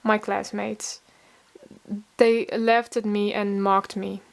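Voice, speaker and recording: A woman's voice: mid-range voice, flat delivery, takes it slowly, creaky. A teenage girl narrating her own story, stuttering often on first sounds of words. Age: teens. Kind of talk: pre-recorded personal narration.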